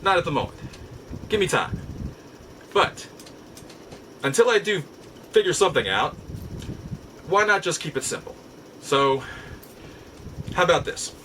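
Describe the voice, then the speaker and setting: A man hosting a nostalgia show in a casual monologue, with a playful, theatrical manner and drawn-out emphasis. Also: a little high, very animated, sharp tone, slight background noise, poor audio. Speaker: host of a nostalgia collectibles show. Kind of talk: casual scripted-feel vlog monologue.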